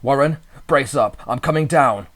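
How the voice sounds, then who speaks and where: A man's voice: medium pitch, moderate pace, voice pushed up, natural voice. A man voicing a frightened, helpless friend calling out urgently in a horror story reading. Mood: urgent alarm. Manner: urgent, loud, distraught.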